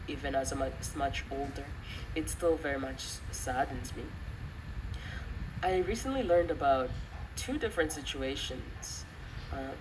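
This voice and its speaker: Young adult woman, low voice, earnest halting commentary, recorded off-mic with clear noise. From Western country, of Rwandan descent. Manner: earnest, reflective, halting with pauses. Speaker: channel host giving commentary. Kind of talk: personal spoken commentary. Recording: distant mic, clearly noisy background.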